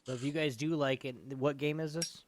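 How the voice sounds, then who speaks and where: A man's voice: a little high, steady tone, fairly quick. A man co-hosting a gaming talk show in casual chat, informally repeating himself for emphasis. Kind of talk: casual chat, addressing viewers and co-host.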